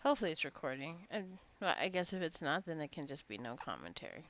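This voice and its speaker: A woman's voice: low voice, sing-song, fast, fairly bright tone. A woman giving casual, rambling gameplay commentary, drifting into chatty asides as she goes. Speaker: gaming video creator.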